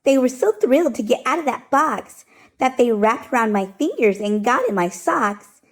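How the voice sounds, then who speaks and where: A woman hosting storytime, reading a rhyming picture book aloud in an expressive, rhythmic, sing-song way. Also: on the high side, normal speed.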